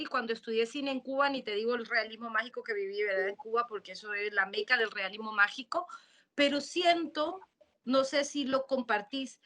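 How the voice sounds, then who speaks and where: A woman with a Nicaraguan accent reflects aloud as a filmmaker on a panel. Her voice sits somewhat high and sounds bright and forceful. She searches for words and often breaks off and restarts mid-phrase. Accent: Nicaraguan Spanish. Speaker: filmmaker asking a question on a panel.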